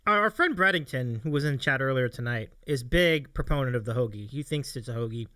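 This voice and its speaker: A man's voice: mid-range voice, lively intonation, fairly quick, sharp tone, clear, resonant tone, no room sound, clean studio sound. An American man hosting a casual livestream chat, chatty and appreciative, often repeating his phrases. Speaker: show host reading audience questions.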